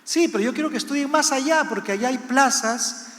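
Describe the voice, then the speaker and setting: Latin american guy early 50s preaching his testimony, emphatic, pretty high voice, big reverby room. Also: a little fast, a bit bright. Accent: Latin American Spanish. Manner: emphatic, repeats phrases for effect, checks with listeners. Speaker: missionary pastor giving testimony. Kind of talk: sermon, personal testimony to a congregation. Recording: echoey hall with long reverb.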